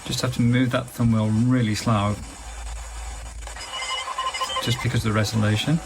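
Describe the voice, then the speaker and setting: A man talks through a hands-on product review in an understated, chatty way. His mid-pitched voice has a noticeable creak, and there is faint noise behind him. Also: little pitch movement, moderate pace. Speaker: radio gadget reviewer.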